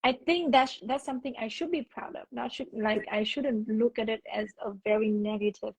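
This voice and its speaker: Husky Vietnamese-accented woman teacher. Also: on the high side, steady tone, fairly quick, no echo. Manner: thoughtful, restarts words, explains with examples. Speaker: English teacher, guest. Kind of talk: casual conversation. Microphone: video call. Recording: dull lo-fi video call audio.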